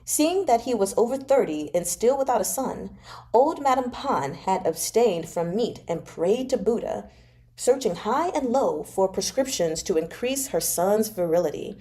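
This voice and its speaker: A woman's voice: mid-range voice, a little mellow. A woman hosting a live novel read-along, narrating expressively and breaking into informal, slangy asides.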